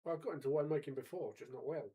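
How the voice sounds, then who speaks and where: Husky, wry man in his forties. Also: fairly high pitch, dark tone. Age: 40s. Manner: wry, pedantic, quick to argue a point. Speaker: podcast co-host. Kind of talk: casual chat.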